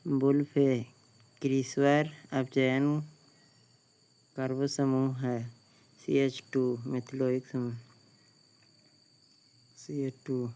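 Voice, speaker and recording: A man, a Bihari Hindi speaker, reading out exam questions in a slow, flat way, repeating each question before giving the answer. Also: medium pitch, clear, resonant tone. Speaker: exam tutor.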